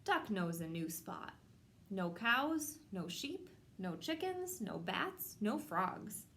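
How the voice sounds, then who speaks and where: A woman hosting a children's storytime, reading a picture book aloud in simple, measured phrases addressed to young children. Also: medium pitch, sing-song, fairly bright tone. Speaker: children's storytime host at a public library.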